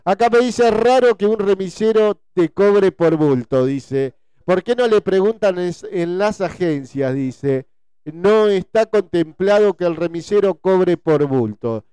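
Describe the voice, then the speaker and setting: Smooth-voiced Rioplatense man, mellow and fairly high. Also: expressive, measured pace, no room sound. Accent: Rioplatense Spanish. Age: 40s. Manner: repetitive, conversational, often seeking agreement with tag questions. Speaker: host chatting with his audience. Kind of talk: casual live chat, reading listener messages.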